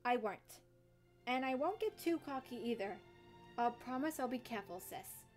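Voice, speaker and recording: Woman acting a high, excitable young pony athlete. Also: moderate pace, natural voice. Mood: reassuring. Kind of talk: dramatic reading of a fan story. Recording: music under the voice.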